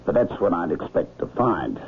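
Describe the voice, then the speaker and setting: An actor playing a wry, cautious doctor in a radio drama, speaking in a measured, noncommittal, dry way. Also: male voice, deep voice, big pitch swings, gravelly, full character voice. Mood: clinical. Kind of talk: 1970s radio drama acting. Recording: muffled lo-fi old radio broadcast, hiss.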